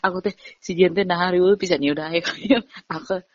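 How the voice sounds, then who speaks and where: A young woman chats as a podcast guest, telling stories in an animated, giggly way. Her pitch is ordinary. The sound is dry and a little dull, like a lo-fi home recording. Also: quick pace, dry recording. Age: young adult. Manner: chatty, giggly, animated storytelling. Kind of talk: casual chat.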